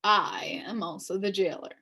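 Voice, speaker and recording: A woman's voice: mid-range voice, measured pace, sharp tone. A woman voicing a pompous, officious male policeman and judge in a storybook, declaiming with cheerful menace. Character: pompous, brisk policeman who is judge and jailer.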